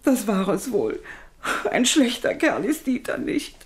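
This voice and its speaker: A woman's voice: fairly high pitch, big pitch swings, a little slow, darkish tone. An actress playing a weary, anxious widowed mother who pleads for her troubled son in a radio crime drama. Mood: weary, worried. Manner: worried, pleading. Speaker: actor.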